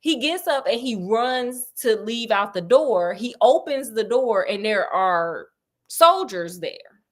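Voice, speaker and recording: Woman storyteller, fairly high voice, chatty and sassy. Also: animated, slow pace. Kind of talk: casual comic story retelling.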